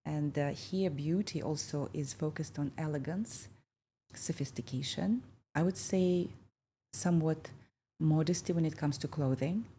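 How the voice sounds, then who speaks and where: A woman in her fifties from Kazakhstan hosting a reflective conversation, talking thoughtfully with many pauses and fillers. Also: low-pitched, warm tone, slight background noise. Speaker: podcast host.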